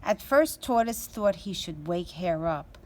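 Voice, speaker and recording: A woman reading a children's story aloud as a storyteller, in an expressive, deliberate way. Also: fairly low pitch.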